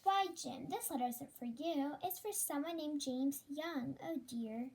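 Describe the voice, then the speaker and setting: A devoted, worried young wife of the early twentieth century, played by a child of about ten. The voice is very high and childlike, with the earnest delivery of a school radio play. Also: measured pace, a little mellow. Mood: concerned, fond. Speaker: fourth grade student actor.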